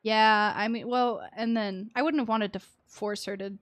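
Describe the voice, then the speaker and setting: A woman's voice: a little high, fairly even intonation, moderate pace. A young adult woman chatting casually and expressively on a game livestream. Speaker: game streamer.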